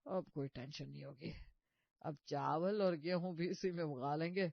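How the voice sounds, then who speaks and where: A man's voice: on the high side, normal speed, creaky, no room sound, dull audio. A man voicing a stingy, grumbling husband in a comic story, bossy and full of complaints. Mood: calculating. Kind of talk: comic cartoon story voice acting.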